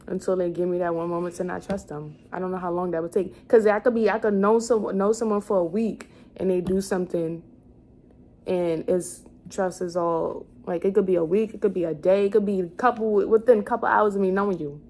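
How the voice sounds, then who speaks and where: A woman's voice: lowish voice, steady tone, fairly quick, darkish tone. A woman in her twenties chatting casually as a vlogger, rambling as she thinks aloud and corrects herself. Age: 20s. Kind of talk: casual chat, answering questions to camera. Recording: clearly noisy room ambience.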